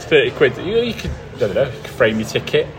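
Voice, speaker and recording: A man's voice: medium pitch, big pitch swings, fast, over music. A man co-hosting a casual podcast chat outdoors, wry and teasing. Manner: wry, teasing, laughs at his own jokes. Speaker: podcast co-host. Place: outdoors by a canal before an away match. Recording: busy crowd noise behind. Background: crowd noise.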